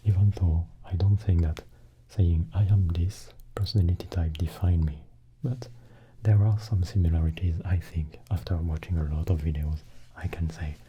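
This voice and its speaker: A man hosting an ASMR channel, mostly in a soft unvoiced whisper that drifts near and far from the microphone, chatting slowly and haltingly to his viewers. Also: sits low, fairly even intonation, dull audio, slight background noise. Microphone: close microphone.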